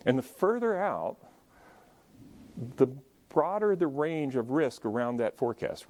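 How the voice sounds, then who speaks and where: A man with a deep voice giving a conversational lecture at a symposium, adding joking asides to the audience and moving around as he talks. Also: dark tone. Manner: moves around while speaking. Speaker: conference speaker. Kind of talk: conference lecture.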